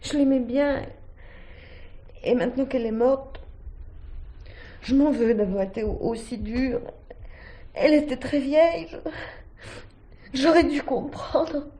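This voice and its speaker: Young woman student,, soft dark timbre, nervous, remorseful, hesitant, 1970s radio drama. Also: sits high. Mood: tearful, remorseful. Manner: hesitant, halting, fragile. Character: anxious young female student, remorseful and flustered. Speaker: actor. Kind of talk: 1970s French radio play acting.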